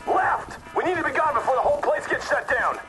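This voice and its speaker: Tense game commando barking short orders, high voice, music behind him. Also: male voice, expressive, brisk, sharp tone, exaggerated voice, rough recording. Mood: commanding. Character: tense masked operative on an armed raid. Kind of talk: video game action voice acting.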